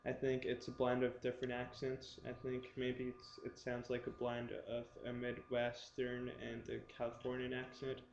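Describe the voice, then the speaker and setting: A young man talking casually to his viewers in a halting way, with many pauses and fillers. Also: mid-range voice, completely flat delivery, creaky, roomy sound, lo-fi sound, a little hiss or hum. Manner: slow, halting, many ums. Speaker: vlogger. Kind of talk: casual talk to camera.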